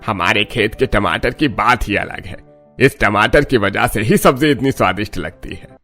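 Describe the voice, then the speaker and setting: A man's voice: on the low side, normal speed, bright, forceful tone. A man playing a proud, polite older farmer and father-in-law in a cartoon story, speaking with assurance. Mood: calm. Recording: music behind the voice.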